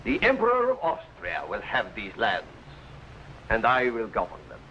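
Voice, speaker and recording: An actor playing a tyrannical, sneering governor who speaks in archaic, threatening phrases in an old adventure serial. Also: male voice, lowish voice, huge pitch swings, normal speed, sharp tone, full character voice. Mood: menacing, scornful. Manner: imperious, archaic, threatening speech. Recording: noisy old broadcast recording.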